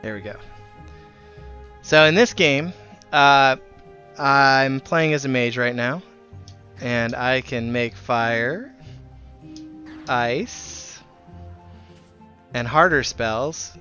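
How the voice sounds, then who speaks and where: Bright-voiced man chatting on a game livestream. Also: mid-range voice, drawn-out words, pauses often, clean tone, muffled recording. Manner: friendly, chatty, addressing viewers by name. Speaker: live streamer. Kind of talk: casual game livestream chat. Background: music.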